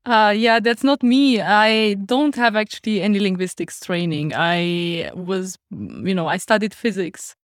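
Lively Romanian-accented young woman. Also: mid-range voice, measured pace, hardly any pauses, no echo, studio quality. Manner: lively, laughs often. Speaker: podcast guest. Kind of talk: casual chat.

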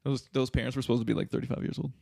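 A man in his thirties talks quickly in a relaxed podcast chat, his voice carrying a gravelly fry. He swears freely between thoughts. The recording is close and dry. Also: medium pitch, no echo. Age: 30s. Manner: quick, swears, laughs easily. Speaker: podcast co-host. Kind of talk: casual chat.

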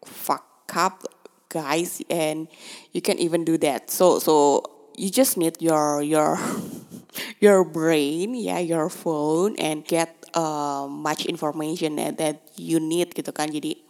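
A woman's voice: deep voice, steady tone, takes it slowly, a little mellow. A woman talking casually, her first language Indonesian, speaking hesitant English with many fillers and restarts and laughing often. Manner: switches between Indonesian and English mid-sentence. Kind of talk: casual monologue.

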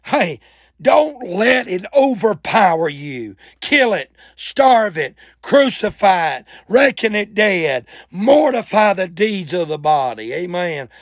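A man preaching a fervent church sermon in a raspy voice, with emphatic, folksy exclamations and repeated phrases. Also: high-pitched, big pitch swings, slow pace, no echo, studio quality. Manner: folksy, colloquial.

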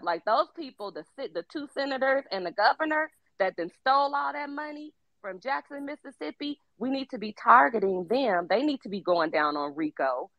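A woman's voice: medium pitch, fairly bright tone, no room sound, poor audio. A woman speaking in an online audio room, making an urgent, rallying political appeal. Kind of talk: political talk.

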